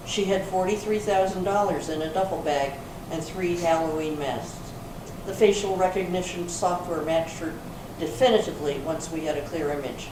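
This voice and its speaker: A woman reading the part of a brisk, authoritative police sergeant in an amateur readers theater, matter-of-fact in manner. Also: on the low side, natural voice. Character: brisk female police sergeant closing a case. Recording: echoey room from far off, clear noise.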